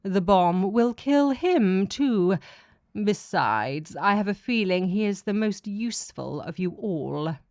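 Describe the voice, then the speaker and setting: A cold, commanding god speaks in a stern, declaiming way, calmly ruthless and sure of itself, as a woman reads the part. The delivery rises and falls with lively pitch. The sound is dry and close, with no room around it. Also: slow, no echo. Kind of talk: audiobook character reading.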